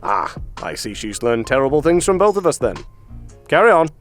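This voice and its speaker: Man as a wildly animated suave spy dad. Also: medium pitch, moderate pace, soft background music, close to an everyday voice. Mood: resigned. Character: suave spy father posing as psychologist, absent-minded. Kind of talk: cartoon parody voice acting.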